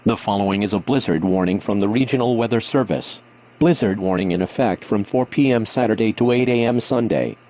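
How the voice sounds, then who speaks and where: Male weather radio announcer, lowish voice, formal and automated, reading emergency bulletins through dull, lightly noisy radio. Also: brisk. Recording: muffled lo-fi weather radio, humming noise.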